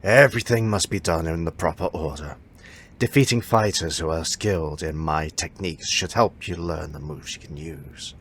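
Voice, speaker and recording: A man playing a stern older martial arts master in an audio drama, measured and authoritative as he instructs. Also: sits low, fairly bright tone, a little hiss or hum.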